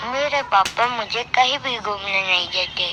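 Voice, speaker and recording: A woman voicing a naive, earnest young male lion cub in an animated moral story, with noise behind the voice. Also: medium pitch, unhurried. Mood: curious bored. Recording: noisy background behind voice.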